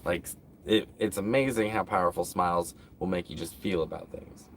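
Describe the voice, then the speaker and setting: A man's voice: deep voice, normal speed, slight background noise. A man hosting a casual livestream, rambling to his viewers with lots of fillers. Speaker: livestream host.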